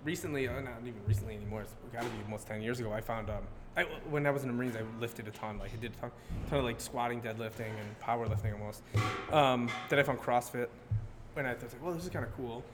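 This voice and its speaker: A man in his thirties, a guest artist, talking loosely about his work and sports, heavy on filler words and quick laughs. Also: mid-range voice, music in the background. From Connecticut. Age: 30s. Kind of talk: casual podcast chat. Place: brewery taproom. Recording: roomy live venue with loud background noise.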